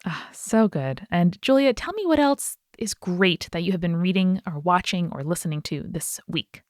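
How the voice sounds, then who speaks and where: A woman with a fairly low voice hosts a podcast. She moves between read-out announcements and chatty promotion, sounding upbeat and appreciative. The sound is close and dry. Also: expressive, moderate pace, darkish tone, no room sound. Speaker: podcast co-host. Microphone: close podcast microphone.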